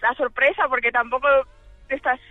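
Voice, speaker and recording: A woman's voice: sits high, steady tone, moderate pace, no room sound, soft background music. A woman from the Dominican Republic calling in on the phone, telling her own story in an informal, giggly way. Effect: telephone. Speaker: radio caller. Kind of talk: casual personal storytelling. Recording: tinny band-limited phone line.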